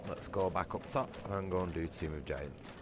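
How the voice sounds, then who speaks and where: A man's voice: low-pitched, moderate pace, crowd noise. A man with a Manchester accent chatting bluntly and swearing while streaming a game. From England. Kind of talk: casual livestream gaming chat.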